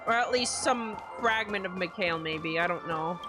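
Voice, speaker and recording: A woman's voice: fairly low pitch, normal speed, music in the background. A woman streaming a story game, commenting casually and musing aloud with questions as she plays.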